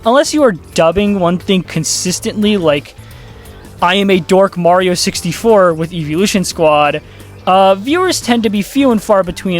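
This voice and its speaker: A man giving casual, sarcastic YouTube commentary, rambling and swearing freely. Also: sits high.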